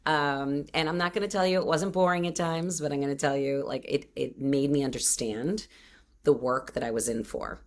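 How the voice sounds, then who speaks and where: A woman's voice: low voice, steady tone, moderate pace. A woman in her fifties from the Boston area, telling her life story in a casual interview with frequent fillers and restarts. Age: 50s. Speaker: guest entrepreneur.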